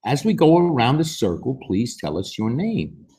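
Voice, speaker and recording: A man voicing a gentle, encouraging woman kindergarten teacher in a storybook, patient and instructive, with a husky voice. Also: mid-range voice, big pitch swings, normal speed, voice pushed up.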